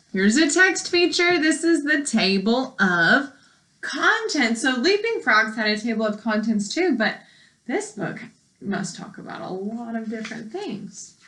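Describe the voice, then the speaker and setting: A woman's voice: on the high side, sharp tone. A woman teacher reading aloud to young children and explaining as she goes in simple words, with questions to the listeners. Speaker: elementary school teacher.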